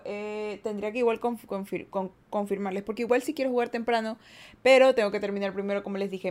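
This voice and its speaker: A young woman from Guayaquil with an Ecuadorian accent, hosting a casual livestream podcast, chatty and upbeat as she reads the chat. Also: mid-range voice. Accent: Ecuadorian Spanish, Guayaquil. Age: young adult. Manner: chatty, upbeat, playful asides. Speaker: streamer and podcast host. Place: indoors at home, daylight room.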